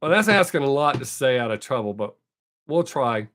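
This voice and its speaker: An older man talks loosely to his live-stream audience, wandering from one thought to the next. His voice sits in an ordinary middle pitch and still sounds a little worn, as if it is not fully back yet. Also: very animated, normal speed, a bit bright. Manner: rambling, self-correcting, chatty. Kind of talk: casual chat with viewers.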